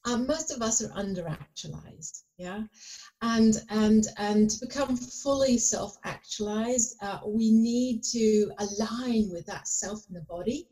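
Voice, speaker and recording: A woman's voice: fairly high pitch, a little slow, dark tone, low-quality recording. A woman guest on a podcast, talking in a rambling way with lots of fillers and restarts. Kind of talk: casual conversation.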